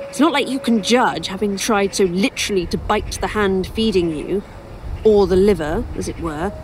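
A woman's voice: mid-range voice, a little hiss or hum, natural voice. A woman playing an inquisitive, wry author in an audio drama, rambling and musing as she talks.

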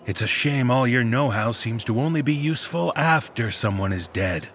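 Horror podcast role: actor as a fed-up local lawman, medium-pitched male voice, confrontational and plain-spoken, stern small-town authority. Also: normal speed, a bit bright, a little hiss or hum, close to an everyday voice. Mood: dour, reproachful. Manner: blunt, folksy, accusing. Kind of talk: horror audio drama dialogue.

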